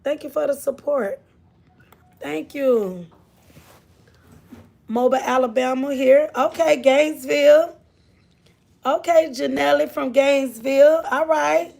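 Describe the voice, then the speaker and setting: A woman's voice: high-pitched, a little slow, gravelly, long pauses, a little mellow. A woman from Florida with a Southern accent, hosting a casual livestream and chatting loosely with her viewers. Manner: chatty, drawling, scolds her kids off-mic. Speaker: livestream host. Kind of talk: casual live chat.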